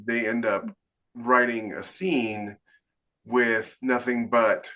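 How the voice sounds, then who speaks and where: Male podcast host, medium pitch, conversational and emphatic, explains by voicing hypothetical remarks. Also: flat delivery, slow, sharp tone. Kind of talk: casual interview chat.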